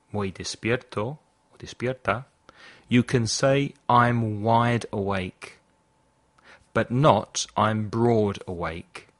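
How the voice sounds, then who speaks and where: A man hosting a language podcast, reading a scripted lesson and saying model phrases slowly and clearly for listeners to repeat. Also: fairly low pitch, a bit bright. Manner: slow, carefully articulated model phrases for repetition. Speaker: English teacher, podcast host.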